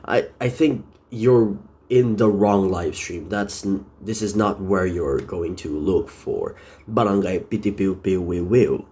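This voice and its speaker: A man from the Philippines with a deep voice, talking casually on a live stream, rambling and correcting himself with many fillers. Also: a little slow, mellow.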